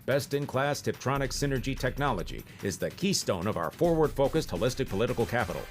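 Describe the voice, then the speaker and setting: A man's voice: mid-range voice, big pitch swings, fast talker, faint music behind. An actor playing a slick corporate huckster in a parody commercial, with fluent salesman patter. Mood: slick, eager. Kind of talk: mock advertisement pitch.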